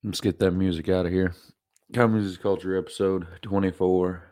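Stuffy-nosed man with a very low voice. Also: steady tone, moderate pace, mellow, dry recording, studio quality, faint music behind. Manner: rambling, repeats himself, self-deprecating laughs. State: stuffy nose from allergies. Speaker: podcast host. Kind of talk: casual solo podcast monologue.

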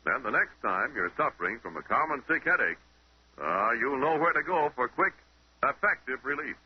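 Old time radio announcer guy, lively delivery, scripted, muffled recording. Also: medium pitch, moderate pace, slight background noise.

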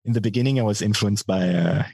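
A man with a bass voice giving thoughtful, measured answers in a casual interview. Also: creaky, darkish tone, husky, no echo. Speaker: musician being interviewed, guitarist and singer.

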